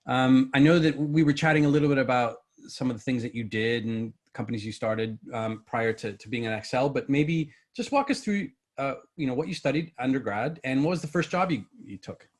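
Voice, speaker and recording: A man's voice: mid-range voice, a little fast, few pauses. A man hosting a podcast, asking loose conversational questions with many fillers. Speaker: podcast host. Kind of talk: podcast interview.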